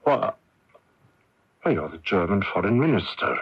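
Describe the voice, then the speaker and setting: An actor playing a weary, idealistic imprisoned male patriot in a radio mystery drama, earnest and halting. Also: low voice, sing-song, husky, full character voice. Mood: bewildered. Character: weary, idealistic imprisoned Irish patriot, resigned yet defiant. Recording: dull lo-fi radio recording.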